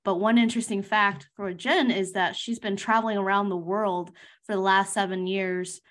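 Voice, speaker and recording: A woman's voice: medium pitch, steady tone, normal speed, fairly bright tone. A woman who hosts a podcast and works as a life coach, introducing her guest and asking questions in a friendly, conversational way. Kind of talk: casual interview hosting.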